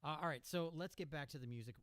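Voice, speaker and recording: A man's voice: on the high side, quick pace, fairly bright tone, dry recording. A middle-aged man hosting a live music radio show, chatting casually into a close studio microphone. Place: small indoor studio. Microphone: close studio mic on a boom arm.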